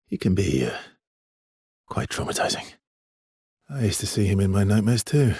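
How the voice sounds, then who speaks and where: A guarded, world-weary immortal expeditioner in a video game drama. His voice is very husky and deep, moving expressively between soft hesitance and protective earnestness, recorded dry and close. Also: very animated, moderate pace, no echo, exaggerated voice. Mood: hesitant, gentle. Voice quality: husky, breathy, gravelly low voice. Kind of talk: dramatic video game voice acting, naturalistic and understated.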